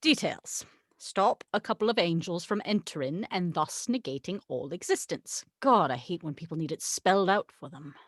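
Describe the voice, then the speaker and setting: A woman's voice: fairly low pitch, lively intonation, moderate pace, no echo, studio quality. A woman reading the part of a haughty, sarcastic angel in a script table read, with a wry, exasperated, condescending manner. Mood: dry, exasperated. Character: haughty, sarcastic tequila-loving angel, the voice of God.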